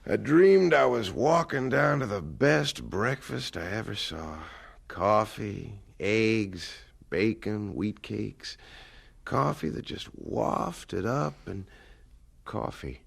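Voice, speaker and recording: A kindly country foreman in a radio drama. He talks expansively in a folksy, plain-spoken way, with a very lively range of pitch and some gravel in the voice. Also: takes it slowly, exaggerated voice. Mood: sleepy, puzzled. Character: John, kindly talkative plain-spoken rural Midwestern mill foreman. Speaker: actor in an audio drama. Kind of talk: dramatized radio play acting.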